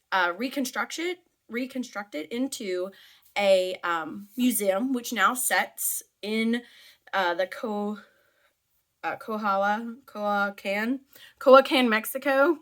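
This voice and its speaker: A woman's voice: a little high, a bit bright. A woman chatting casually as she tells a story while doing her makeup, with informal asides and a giggly manner. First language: English. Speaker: teacher.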